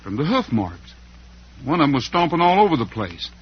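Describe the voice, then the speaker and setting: A muffled vintage radio broadcast carries a steady, fair-minded cowboy hero. His voice sits a little high and slightly husky. He reasons things out in classic western drama style. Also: brisk. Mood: determined, reasoning. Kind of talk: old-time western radio drama acting.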